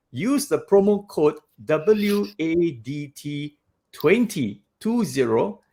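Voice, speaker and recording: A man hosting a podcast, talking in a loud, animated way and laughing often. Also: fairly high pitch. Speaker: podcast host. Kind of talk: casual interview chat.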